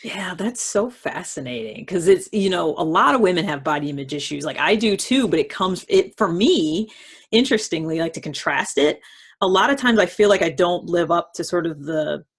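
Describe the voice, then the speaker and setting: A woman with a husky, slightly low voice hosts a relaxed conversation. She speaks informally and slangily, slipping into quoted lines as she explains things. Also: normal speed. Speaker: host. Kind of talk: casual conversational interview.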